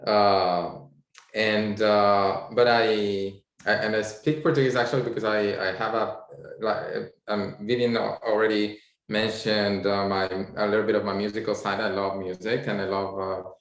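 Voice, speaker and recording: A man's voice: fairly low pitch, fairly even intonation, normal speed. A man from Spain with a Spanish accent, introducing himself on a video call panel, hesitant and full of fillers. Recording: echoey room.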